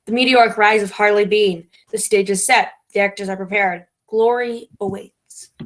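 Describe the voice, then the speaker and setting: A woman's voice: medium pitch, fairly even intonation, normal speed, lightly acted, poor audio. A woman playing a pompous, bossy radio playwright who thinks herself a genius, speaking in a grandiose, imperious, emphatic way in a theatrical comedy. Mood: grandiose, scheming. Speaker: actress in a stage comedy. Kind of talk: theatrical stage comedy acting.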